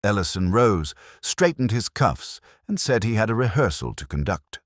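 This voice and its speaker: A man reading a scripted first-person mystery story in a reflective, solemn, deliberate, melancholy manner. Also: on the low side, big pitch swings, no room sound, studio quality. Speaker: narrator of a detective mystery story.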